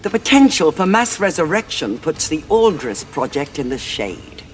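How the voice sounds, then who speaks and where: A woman playing a cold, calculating senior Time Lord strategist in an audio drama, with a measured, imperious delivery. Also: deep voice, very animated, a little hiss or hum. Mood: coolly smug.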